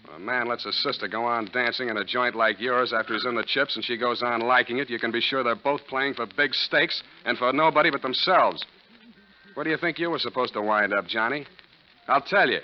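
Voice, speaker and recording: A man's voice: medium pitch, fairly quick, close to an everyday voice. An American man playing a cynical, tough private detective in a 1940s radio drama, terse and wry in delivery. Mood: hard, accusing. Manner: terse, wry, hard-boiled. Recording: muffled, noisy 1940s radio recording.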